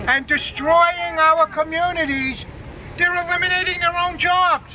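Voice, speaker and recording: A man's voice: extremely high pitch, steady tone, a little slow, muffled recording. A man giving an impromptu speech at an outdoor rally, mixing sarcastic jabs with calls to remember how officials vote. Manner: sarcastic jabs, urging the crowd to remember votes. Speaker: civic group representative at a rally. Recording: outdoor rally with crowd and street noise.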